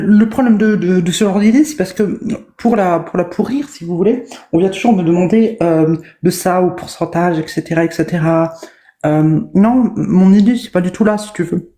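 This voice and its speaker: A woman's voice: on the low side, mellow. A woman debating in a casual online discussion, hesitant and full of fillers and restarts. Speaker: debate guest.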